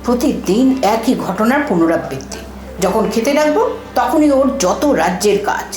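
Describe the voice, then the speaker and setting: A woman playing a troubled, protective elder sister in an audio drama, with emotional, expressive delivery. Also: fairly high pitch, normal speed, natural voice. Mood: irritated, evasive. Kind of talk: Bengali audio drama acting. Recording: echoey room, distant mic, music bed.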